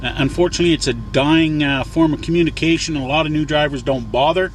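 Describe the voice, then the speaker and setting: A man's voice: on the high side, quick pace. A man talking casually as a trucker vlogger, explaining things in a rambling, folksy way and repeating himself for emphasis. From Canada. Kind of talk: casual explanatory vlog talk. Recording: clear vehicle and outdoor noise. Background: vehicle and outside noise.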